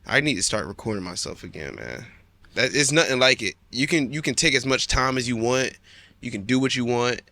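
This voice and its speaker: Bright-voiced young man, loose slangy chat. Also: mid-range voice, fairly quick. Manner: relaxed, slangy, laughs often. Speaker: podcast guest, rapper. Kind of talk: casual chat.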